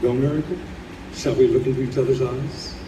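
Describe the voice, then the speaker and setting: A man's voice: medium pitch, fairly even intonation, a little fast, close to an everyday voice. A man playing a devoted, teasing young husband with a past life as a wife, in an old-time radio play recorded in a roomy space. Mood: pleading. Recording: roomy live stage recording, distant, faint noise.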